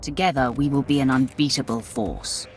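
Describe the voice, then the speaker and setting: A woman's voice: extremely low pitch, moderate pace, darkish tone, faint music behind. A woman playing a cold, ruthless Sith warrior in a video game, with terse, imperious delivery. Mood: cold resolve. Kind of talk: dramatic video game acting.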